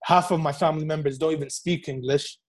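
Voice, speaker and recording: A man whose first language is Arabic talks through his thoughts in a relaxed conversation. He has a slightly high voice for a man and pauses often, restarting his sentences as he reflects. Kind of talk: casual conversation.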